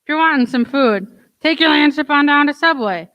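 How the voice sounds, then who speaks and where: A woman's voice: high-pitched, expressive, fairly quick, lo-fi sound. A woman announcer giving a scripted show introduction in playful pirate talk.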